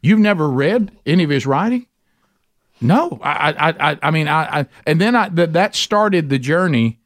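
A man's voice: a little high, animated, normal speed. A man with a Southern US accent hosting a casual podcast, folksy and repeating phrases for emphasis. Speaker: podcast host.

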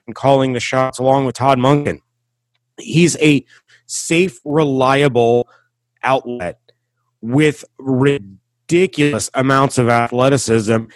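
Guy hosting a sports podcast, emphatic takes, mid pitched voice, really dry sound. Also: no echo. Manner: emphatic, rhetorical questions, deliberate stresses. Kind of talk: opinionated sports commentary.

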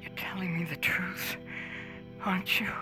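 A lonely, fragile woman played by an actress speaks very slowly in a breathy near-whisper. She hesitates as she talks in this intimate audio drama, with prominent music behind her. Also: on the low side, level tone, close to an everyday voice. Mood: lonely, hesitant. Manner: halting, hesitant. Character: lonely, fragile woman calling an emergency line.

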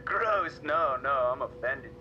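An actor playing a crass, mocking young slacker coworker in an audio drama, casual and drawling, on a muffled noisy recording. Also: male voice, mid-range voice, lively intonation. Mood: casual, interested. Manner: casual, chuckling, drawn-out. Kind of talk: naturalistic audio drama acting.

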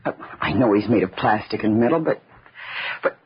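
A woman's voice: rumbling low, expressive, moderate pace, a little hiss or hum. A woman playing the anxious, fearful daughter of a scientist in an old-time radio drama. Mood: anxious, shaken. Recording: muffled vintage radio recording, faint music.

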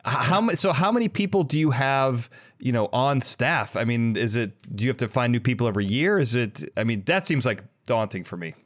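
A man's voice: mid-range voice, expressive, brisk, hardly any pauses. A man hosting a casual interview, talking in an informal, hedging way. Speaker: podcast host, interviewer.

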